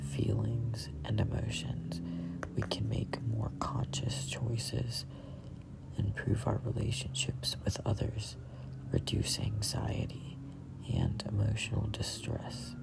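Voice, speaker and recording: Slow whispered read-along with heavy creak. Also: mid-range voice, low-quality recording. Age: 20s. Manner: halting, deliberate phrasing with stumbles over words. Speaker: reader of a self-help book.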